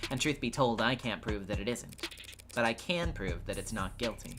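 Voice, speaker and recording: A woman's voice: very deep voice, animated, normal speed. Woman playing a cool, sly defense attorney over music. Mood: earnest, resolute. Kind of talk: character acting in a visual novel playthrough. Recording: loud game music behind the voice.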